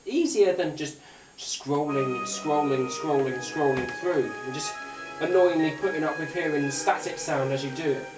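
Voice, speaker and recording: A man's voice: fairly high pitch, normal speed, hardly any pauses, over music. A British man giving a casual, unscripted product demonstration in a hesitant, rambling manner. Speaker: gadget reviewer. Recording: roomy, distant mic, faint noise.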